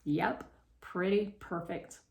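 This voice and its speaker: A woman's voice: fairly low pitch, slow pace, vocal fry, darkish tone. Woman reading aloud in an echoey room. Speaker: read-aloud reader. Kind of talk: expressive children's novel read-aloud.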